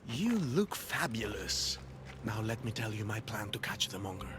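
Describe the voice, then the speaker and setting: An actor playing a dead former cult schemer in a game, courtly and ingratiating, seeking redemption. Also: male voice, lowish voice, big pitch swings, normal speed. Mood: smug, scheming. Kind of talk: video game cutscene acting. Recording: game music behind voice.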